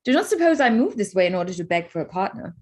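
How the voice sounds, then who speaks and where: Woman playing a lively, witty young gentlewoman, swooping intonation. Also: medium pitch, fast. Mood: cool, arch. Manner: arch, spirited, pointed. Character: witty, proud, curious young gentlewoman of Regency England. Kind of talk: theatrical staged reading of a period play.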